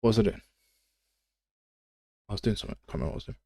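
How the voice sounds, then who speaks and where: A man with a very deep voice and an English Midlands accent, chatting casually and bluntly as he thinks aloud through a live game development tutorial. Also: animated, rapid-fire, gravelly, warm tone, dry recording.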